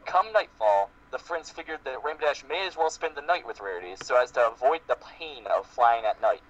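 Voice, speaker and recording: A man's voice: medium pitch, expressive, a little fast. A man giving an amateur dramatic reading of fan fiction as part of a group. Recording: muffled lo-fi voice-chat recording.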